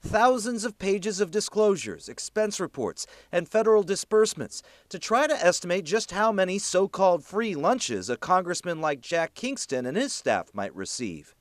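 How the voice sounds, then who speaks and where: A man narrating a scripted TV news report as an investigative reporter, with emphatic, clearly enunciated delivery. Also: sits high, big pitch swings, moderate pace, few pauses, no room sound.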